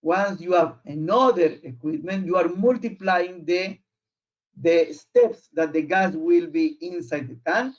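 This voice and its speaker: Guy with a high voice presenting technical stuff, non-native english, keeps searching for words, muffled online audio. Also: unhurried. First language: not English.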